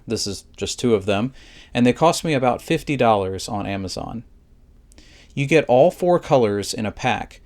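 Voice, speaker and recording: A man's voice: mid-range voice, darkish tone. A man narrating a scripted product review in a plain, methodical, instructional way.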